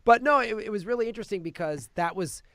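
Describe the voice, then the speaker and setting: A man's voice: high voice, expressive, quick pace, sharp tone, dry recording, studio quality. A man hosting a podcast, giving a casual film review and acting out quotes as he retells things. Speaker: podcast host reviewing films. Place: podcast studio.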